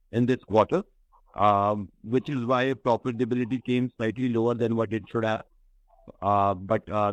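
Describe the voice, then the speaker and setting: Low-voiced Indian businessman, dry sound. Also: no echo, low-quality recording. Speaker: company executive answering questions on an earnings call. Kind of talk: business conference call, unscripted answers. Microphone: conference call line, close and dry.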